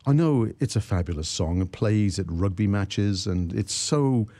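Lively, chatty radio host man. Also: on the low side, unhurried, no echo. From Wales. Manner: enthusiastic, chatty, leading questions. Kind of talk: radio interview.

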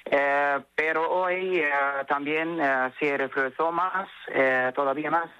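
Halting male correspondent on a dull line. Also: fairly high pitch, fairly even intonation, bright, forceful tone, smooth, dry recording. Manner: halting delivery with very frequent hesitation. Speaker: foreign news correspondent. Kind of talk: live news report. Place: remote link into a radio studio.

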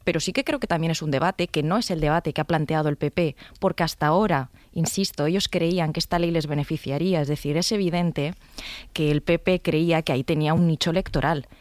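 A woman's voice: deep voice, no echo. A woman, arguing a political point as a commentator on a radio panel. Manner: fast, forceful argumentation. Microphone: studio microphone.

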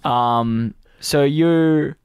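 A man's voice: mid-range voice, extremely slow, a little mellow, clean tone, dry recording, clean studio sound. A man co-hosting a radio show, chatting casually in a rambling, self-deprecating way. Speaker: radio co-host and stand-up comedian.

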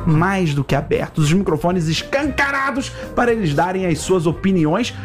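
High-pitched emphatic male radio host. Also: big pitch swings, normal speed, soft background music. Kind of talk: animated live talk show banter. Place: radio studio with live video stream.

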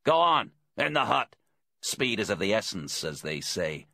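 A man playing a smug, husky bent detective. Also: fairly low pitch, very animated, unhurried, bright, forceful tone, no room sound, clean studio sound, full character voice. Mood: impatient. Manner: cheerfully taunting, casual. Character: smug, cynical, corrupt male police detective inspector.

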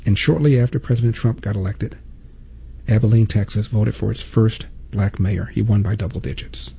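Deep-toned emphatic male talk host. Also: steady tone, moderate pace, vocal fry, warm tone, slightly rough, poor audio. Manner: emphatic, repeats for stress, rhetorical questions. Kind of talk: opinion monologue.

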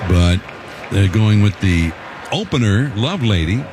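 A male play-by-play announcer calling a baseball game in a conversational, measured way. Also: crowd noise, background noise. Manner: conversational, measured, calls pitches with rising excitement. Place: stadium broadcast booth.

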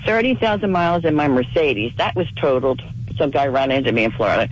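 A woman in her seventies calling in to a radio show. She is losing her voice to a cold and talks fast in a rambling way. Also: a bass voice, expressive, highs cut off. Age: 70s. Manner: rapid, rambling storytelling. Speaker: caller. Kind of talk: call-in radio chat.

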